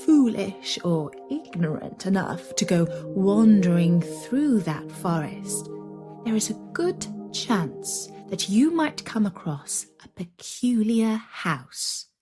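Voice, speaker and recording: A woman narrating a scripted children's story in a dramatic, deliberate way, with breathy delivery and suspenseful pauses. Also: medium pitch, sing-song, over music.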